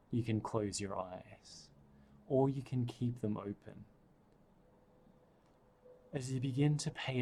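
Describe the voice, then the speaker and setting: A man guiding a breathing meditation, speaking softly and soothingly with long pauses. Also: lowish voice, a little hiss or hum. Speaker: meditation guide.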